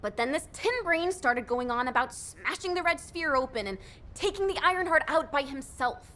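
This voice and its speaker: A woman playing a dreamy, excitable young music-obsessed character in a video game. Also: sits high, normal speed. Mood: animated, teasing. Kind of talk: scripted game dialogue.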